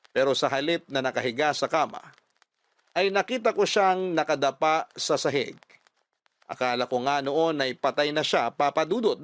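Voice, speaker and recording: A Filipino man tells a scripted story as a radio drama narrator. His voice sits a little high, with dramatic delivery, and the studio sound is dry and close. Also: dry recording.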